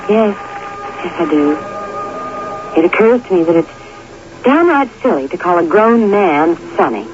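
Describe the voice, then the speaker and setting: Tender old flame, middle-aged, expressive delivery on a muffled, hissy radio-drama broadcast. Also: female voice, lowish voice, slow, soft background music. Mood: tender, hesitant. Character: gentle middle-aged woman, an old school sweetheart.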